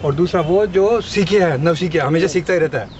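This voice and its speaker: A man with an Indian accent presenting informally in a bilingual mix, haltingly repeating and rephrasing his points. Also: on the high side, crowd noise. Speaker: ham radio presenter introducing a colleague. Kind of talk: informal talk mixing Hindi and English. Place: outdoors at an urban portable radio station. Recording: muffled outdoor recording, clear street noise.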